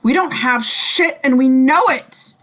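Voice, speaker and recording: A woman playing a blunt, weary male police chief in a table read, with halting delivery. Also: high-pitched, a bit bright. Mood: exasperated. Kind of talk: screenplay table read acting.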